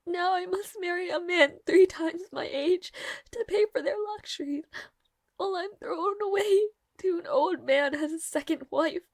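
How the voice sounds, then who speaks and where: A woman playing a timid, devoted young maid in a dramatic audio roleplay, with halting, stammering, breathy speech. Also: very high-pitched, no echo, studio quality. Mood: tearful, bitter.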